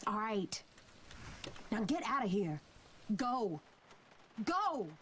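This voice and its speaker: A woman's voice: on the high side, big pitch swings, drawn-out words, fairly bright tone, slight background noise, heavily acted. A woman playing a tough, protective young American federal agent in a video game, with streetwise delivery. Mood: urgent, protective. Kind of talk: video game cutscene acting.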